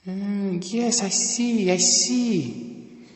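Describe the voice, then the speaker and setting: A man's voice: high-pitched, very slow, pitched above the performer's own voice. A man voicing an eccentric, imperious old time traveller, muttering and exclaiming in an amateur audio drama. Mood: musing. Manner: muttering hesitations, exclaiming, commanding. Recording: echoey room with long reverb.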